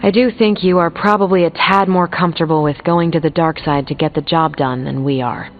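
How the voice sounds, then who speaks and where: A woman's voice: low voice, steady tone, fairly quick, lightly acted. An actress plays a candid, sardonic superheroine from a video game. She talks bluntly and colloquially. The sound is narrow and phone-like, with music behind her. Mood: needling. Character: frank, sardonic soldierly superheroine, adult woman. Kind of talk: video game character dialogue.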